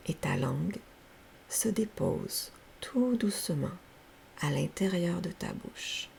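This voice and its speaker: A woman leading a guided yoga nidra relaxation as a teacher, speaking softly and breathily in gentle, unhurried, soothing instructions. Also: fairly low pitch, gravelly, whispered. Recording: faint steady background hiss.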